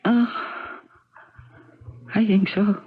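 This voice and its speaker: An actress in a 1970s radio drama playing a despairing wife, dramatic and self-reproachful, with a dryly sarcastic edge. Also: female voice, medium pitch, fairly even intonation, extremely slow, husky. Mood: subdued. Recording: noisy, phone-like old radio recording.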